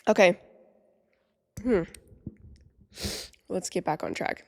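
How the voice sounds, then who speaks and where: A woman's voice: on the low side, takes it slowly, vocal fry, big-room sound. A woman in her twenties hosting her own podcast in casual, upbeat chat, nasal and congested with a slightly sore throat. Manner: upbeat, chatty, many "like" fillers. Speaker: podcast host.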